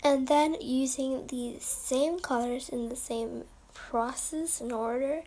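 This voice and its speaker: A young woman with a high voice and a bit of gravelly fry, slightly hoarse from a recent cold. She talks upbeat and chatty, explaining her makeup steps straight to a close camera in a small room at home. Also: steady tone, dark tone. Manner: chatty and upbeat, quick asides. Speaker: beauty vlogger. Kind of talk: makeup tutorial narration.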